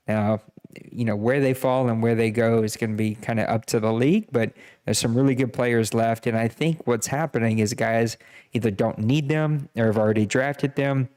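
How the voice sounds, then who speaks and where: A man's voice: mid-range voice, darkish tone. A man hosting a live podcast draft, casually reading out player picks and stats with self-deprecating jokes. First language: Cajun French. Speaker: podcast host running a draft. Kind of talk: casual live-stream commentary.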